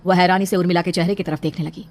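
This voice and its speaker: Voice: mid-range voice, steady tone, very fast. A narrator reading a scripted horror story in a dramatic storytelling style.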